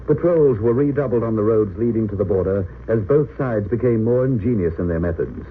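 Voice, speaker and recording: A tinny, noisy vintage radio recording carries a man playing a solemn drama narrator. His low voice swings dramatically in declamatory delivery, grave and theatrical. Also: natural voice. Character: grave dramatic narrator of a wartime true-crime tale.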